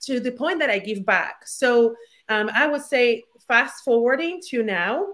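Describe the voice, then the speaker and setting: Energetic Puerto Rican woman, higher voice. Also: a little slow. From Puerto Rico. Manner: energetic, candid, frequent fillers and restarts. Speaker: guest, former attorney. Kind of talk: casual interview from home. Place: her home.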